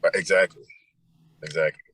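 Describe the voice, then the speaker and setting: A man with a deep, powerful voice, answering interview questions in a relaxed, thoughtful way with many pauses. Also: no echo. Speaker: musician guest.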